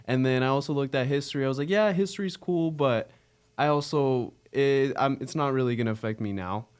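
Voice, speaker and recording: A young American man, about nineteen, speaks in a smooth, clean, medium-pitched voice. He answers as a guest in a casual chat, earnest and often rephrasing himself as he goes. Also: a little fast. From Arizona, United States. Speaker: interview guest, realtor.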